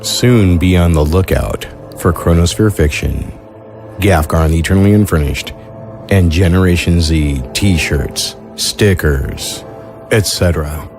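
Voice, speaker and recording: A man's voice: low-pitched, a little slow. A man hosting an audio fiction show, reading a scripted introduction over music. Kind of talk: scripted narration.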